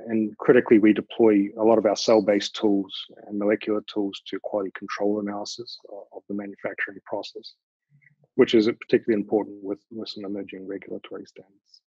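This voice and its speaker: A man with a New Zealand accent introducing his biotech company on a panel, halting, with many repeated words. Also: lowish voice, a little mellow, breathy edge, no room sound. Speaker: biotech company founder and CEO. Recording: dry, dull lo-fi sound.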